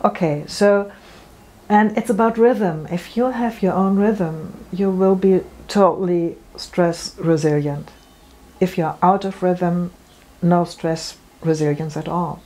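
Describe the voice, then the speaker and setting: A woman's voice: lowish voice, slow pace, dark tone, low-quality recording. A middle-aged woman, a natural medicine practitioner, answering interview questions earnestly, often restarting her sentences. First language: German. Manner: earnest, explanatory, with frequent restarts. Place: room with bookshelves.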